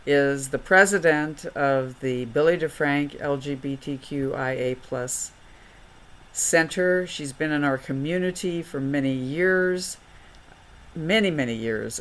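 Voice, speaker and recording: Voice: medium pitch, a bit bright. Effusive panel moderator. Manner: effusive, affirming praise.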